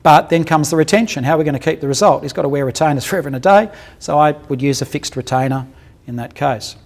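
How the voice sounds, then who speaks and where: Gravelly man giving a blunt dental lecture. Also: on the high side, fast talker. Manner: blunt, joking, colloquial; asks the audience questions. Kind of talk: clinical lecture with case examples.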